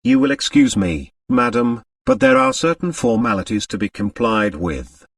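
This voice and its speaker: A man's voice: lowish voice, sing-song, normal speed, creaky, fairly bright tone, no room sound, studio quality, recorded from a distance, full character voice. Man voicing a pompous French police commissary. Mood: formal, officious. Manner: formal, courteous, officious.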